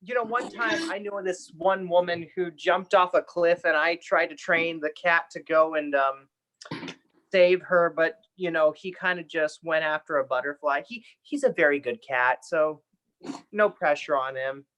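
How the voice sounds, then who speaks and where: A man's voice: on the high side, moderate pace, bright, forceful tone, a little hiss or hum. Male actor, casual comic banter, hesitant and rambling delivery. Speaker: radio-play actor on a live stream.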